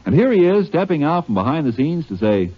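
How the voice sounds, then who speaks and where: An American man with a husky voice announcing a 1950s radio advertisement in scripted, jokey patter. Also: fairly high pitch, very animated, brisk. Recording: muffled vintage radio recording.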